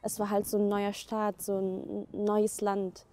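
A woman's voice: medium pitch, completely flat delivery, measured pace, mellow. A young woman from Egypt, whose first language is Arabic, speaking earnestly as a student in an interview, with many fillers. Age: young adult. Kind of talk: interview monologue.

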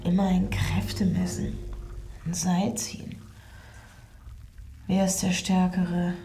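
A woman's voice: on the low side, flat delivery, takes it slowly, dark tone, slight background noise. An actress in a radio drama playing an anxious, overwhelmed mother who broods and often drops to a whisper. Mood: overwhelmed, brittle. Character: anxious, overwhelmed woman, a stressed single mother. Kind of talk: radio drama inner monologue.

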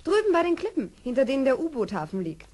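A woman playing a brisk, cheerful lady in charge of children in a children's radio play, with theatrical, instructing delivery. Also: high-pitched, big pitch swings, darkish tone. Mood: lively, instructing. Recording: old noisy radio play recording.

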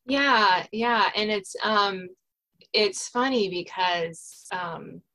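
A woman's voice: mid-range voice, extremely slow, bright, forceful tone, low-quality recording. A woman hosting an interview show in a casual, conversational style. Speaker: podcast host and author.

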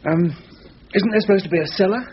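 An actor playing a subdued, protective British husband and grieving father in a radio drama, terse and measured. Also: high voice, husky. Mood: polite. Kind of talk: radio thriller acting. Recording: muffled recording, faint noise.

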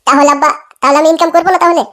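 A woman's voice: high-pitched, steady tone, rapid-fire, a bit bright. A woman voicing a feckless, eager young village man with a whiny, insistent manner in a comic cartoon. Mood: pleading.

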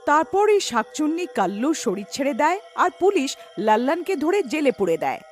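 A woman's voice: sits high, sing-song, normal speed, faint music behind. A woman narrating a scripted cartoon story.

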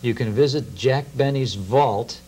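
A man's voice: medium pitch, a little slow, darkish tone. A middle-aged American man talking conversationally as an interview guest, reminiscing in a studio. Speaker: guest, radio show host. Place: TV studio at a round table. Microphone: lapel. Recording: faint hum and hiss, old TV tape.

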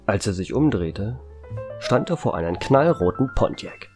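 A man's voice: lowish voice, big pitch swings, measured pace, darkish tone, faint music behind. A man narrating a scripted story as its storyteller. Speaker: narrator and author.

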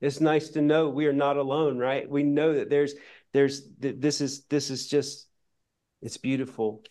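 A man's voice: mid-range voice, steady tone, measured pace, a little mellow. A middle-aged man making an impassioned, preacher-like appeal for a charity project, repeating phrases for emphasis, recorded indoors on a lapel mic. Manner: fervent, emphatic, preacher-like repetition. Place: indoor room with paintings and a plant.

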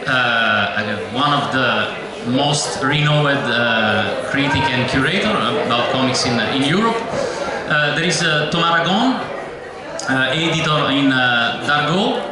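Middle-aged Italian-accented male moderator, halting delivery, very slow; recorded from afar in a reverberant hall with crowd noise. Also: mid-range voice, expressive. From Italy. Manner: hesitant, many filler "uh"s. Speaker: panel moderator. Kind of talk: live panel introduction. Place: large festival hall, on stage. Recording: echoey hall from far off with crowd.

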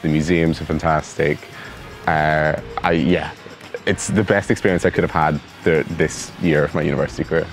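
A man's voice: extremely low pitch, fairly even intonation, normal speed, soft background music. A young man with a Northern Irish accent, an exchange student answering interview questions enthusiastically in an outdoor courtyard on a lapel mic. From Northern Ireland. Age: young adult. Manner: enthusiastic, repeats words.

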